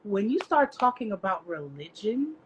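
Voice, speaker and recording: A woman's voice: mid-range voice, big pitch swings, normal speed, a little hiss or hum, low-quality recording. A Dominican woman author speaking as a guest, emphatic and blunt, slipping into Spanish phrases. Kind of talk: candid interview talk.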